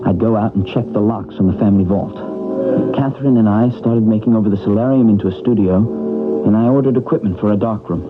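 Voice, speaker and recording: Actor as a composed gentleman lead, phone-thin broadcast. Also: on the low side, fast talker, loud music behind the voice, close to an everyday voice. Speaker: lead character and narrator of the drama. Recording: tinny old radio broadcast.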